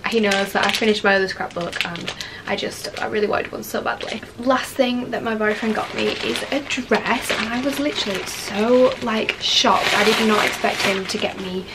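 A woman's voice: medium pitch, normal speed, very noisy. Gushing young Yorkshire woman with a cold. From Leeds, West Yorkshire, England. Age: 20s. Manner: gushing, enthusiastic, many fillers like "um" and "like". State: has a cold, a bit sniffly. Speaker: lifestyle vlogger. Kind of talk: casual chatty haul video. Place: bedroom, indoors.

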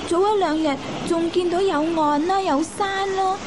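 A woman in a radio drama playing a naive, excitable, playful young wife. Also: extremely high pitch, normal speed, background noise. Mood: curious, naive. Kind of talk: Cantonese radio drama acting. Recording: radio broadcast with music underneath.

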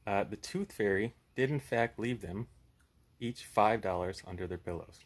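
A man's voice: on the low side, moderate pace. A man in his thirties hosting a puppet show, chatting casually and reading a horror story aloud.

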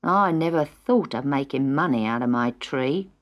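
A woman's voice: a bass voice, animated, normal speed, lo-fi sound, voice pushed down. A woman voicing a mild, grumbling but good-natured village father in a storybook, with an English accent. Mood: wistful.

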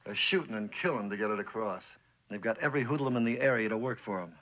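Guy playing a bossy ex-army captain running police, american, hard bright edge, needles people, muffled old drama soundtrack. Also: fairly low pitch, quick pace, close to an everyday voice. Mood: grim, intent. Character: brash, cynical former army captain turned police boss. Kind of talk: mid-century American suspense drama acting.

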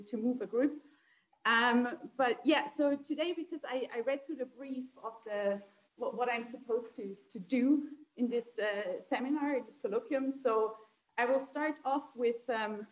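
A woman from Austria, a native German speaker, giving a conversational academic seminar with informal, self-deprecating asides. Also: a little high. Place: indoor seminar room with projection screen. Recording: echoey lecture room.

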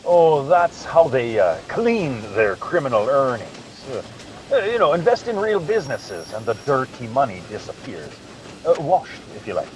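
A man acting in a radio drama as a loyal, hesitant gentleman sidekick who talks in a halting, musing way. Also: mid-range voice, sing-song, natural voice. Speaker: radio play actor. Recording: noisy video call audio.

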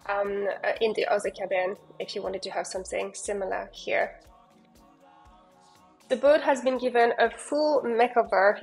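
A woman's voice: mid-range voice, poor audio. A woman giving an enthusiastic walkthrough of a sailing yacht as a broker, talking loosely with fillers and restarts. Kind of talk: walkthrough narration.

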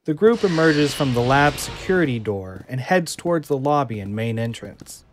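A man narrating the scene directions of an audio drama in an even, descriptive manner. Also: mid-range voice, normal speed. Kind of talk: scripted narration of stage directions.

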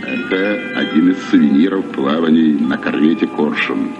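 An actor playing a courteous, worldly naval captain in a radio play. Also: male voice, a bass voice, normal speed, creaky, music in the background. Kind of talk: Soviet radio play acting. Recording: muffled, distant old radio recording.

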